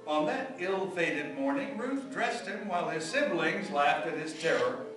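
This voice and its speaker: A man playing the narrator of a family story in a scripted radio drama, telling it in a measured, deliberate way. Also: a little high, animated, music in the background. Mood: measured, wry. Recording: echoey, distant microphone.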